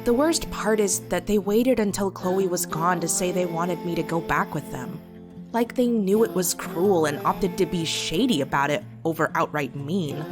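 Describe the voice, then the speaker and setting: A woman's voice: lowish voice, moderate pace, close to an everyday voice. A woman playing a sardonic, fiercely loyal teenage girl reading her journal in an emotive comic dub. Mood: bitter. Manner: wry, sarcastic, emotive. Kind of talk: dramatic first-person journal narration in comic dub. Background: soft guitar music.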